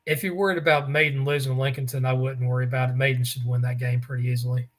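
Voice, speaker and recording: Raspy guy rambling thru sports stories on a talk show, lots of uhs and restarts. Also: mid-range voice, level tone, fast talker. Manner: rambling storyteller, many restarts and fillers. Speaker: co-host.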